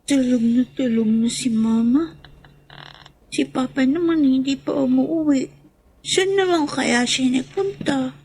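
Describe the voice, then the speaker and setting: A woman's voice: high voice, a little slow, darkish tone, slight background noise. A woman playing an innocent, affectionate young child who is anxious for Papa in a radio drama. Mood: wondering, hopeful.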